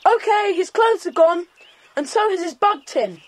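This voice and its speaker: A woman playing a polite, capable English schoolchild in a children's audio drama, with brisk, well-mannered speech. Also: very high-pitched, animated. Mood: puzzled.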